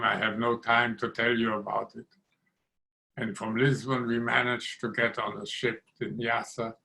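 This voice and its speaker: A man in his nineties from Austria, telling his life story in a measured, deliberate way over a video call. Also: medium pitch, pushed and bright, low-quality recording. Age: 90s. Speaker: interviewee. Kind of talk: oral history interview.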